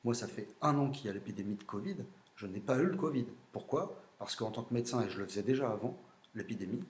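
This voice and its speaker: A man's voice: on the low side, lively intonation, fast, roomy sound. A man, a general practitioner with a French accent, explaining his medical views in a blunt, opinionated monologue with frequent fillers.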